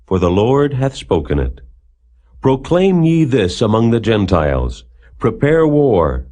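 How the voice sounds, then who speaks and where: A man narrating scripture as a Bible reader, in a solemn, declamatory manner with measured phrasing. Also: medium pitch, very animated, dark tone.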